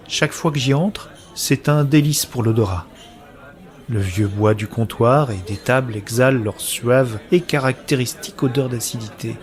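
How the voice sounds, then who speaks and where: An actor playing a brooding, anguished man who narrates his own science-fiction story in measured, literary, introspective phrasing. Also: fairly low pitch, natural voice. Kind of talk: scripted first-person narration of sci-fi audio drama.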